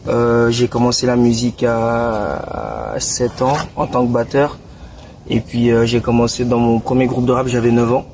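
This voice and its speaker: A man's voice: medium pitch, unhurried. Casual young Belgian man, far-off mic. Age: 20s. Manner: relaxed, slangy, many restarts and fillers. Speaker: guest rapper. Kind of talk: casual interview. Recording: distant mic with clear background noise.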